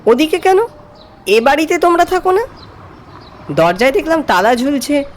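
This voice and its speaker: A man's voice: very high-pitched, very animated, moderate pace, slight background noise. An actor playing a curious, polite male office junior who narrates his own horror story. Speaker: narrator and character. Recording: music behind the voice. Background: background music.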